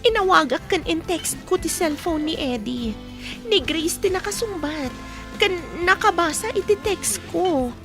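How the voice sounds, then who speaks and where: A woman's voice: very high-pitched, lively intonation, measured pace, music in the background, full character voice. A woman acting a lovestruck, scheming, self-pitying young mistress in a radio drama, with an Ilocano Filipino accent. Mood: plaintive, scheming.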